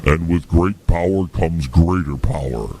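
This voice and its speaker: A man playing a power-hungry cartoon supervillain who delivers cold, formal, measured threats. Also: sits low, background noise. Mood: cold menace.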